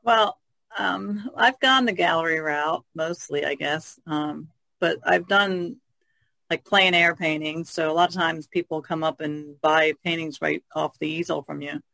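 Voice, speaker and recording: Female podcast co-host, casual, gravelly low register, dry close recording. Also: unhurried, bright, forceful tone, no room sound, low-quality recording.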